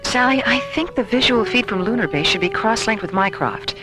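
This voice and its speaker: A woman playing a brisk, practical space-station officer in a science-fiction radio drama, businesslike in delivery. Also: fairly high pitch, lively intonation, close to an everyday voice. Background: music.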